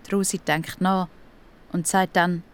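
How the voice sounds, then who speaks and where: A woman's voice: fairly low pitch, flat delivery, a little slow. A woman with a Swiss accent narrating a scripted audio story for children.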